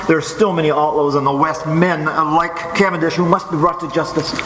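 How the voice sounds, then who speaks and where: A man playing a noble, fatherly masked Western hero in an old-time radio drama, solemn and measured. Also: on the high side, fairly even intonation, slight background noise, lightly acted. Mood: solemn, earnest. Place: theatre stage. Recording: echoey live hall, loud string music behind.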